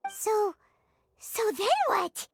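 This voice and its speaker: Squeaky, extremely high tiny fairy. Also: female voice, very slow, creaky, no room sound, heavily acted. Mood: baffled. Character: tiny childlike female fairy companion, excitable and puzzled. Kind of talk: video game dialogue.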